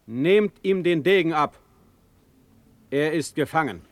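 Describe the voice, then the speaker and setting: An actor playing a stern, imperious Prussian ruler in a classical verse radio drama, speaking in a measured, formal, commanding way. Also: male voice, on the high side, expressive, dry recording. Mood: cold command.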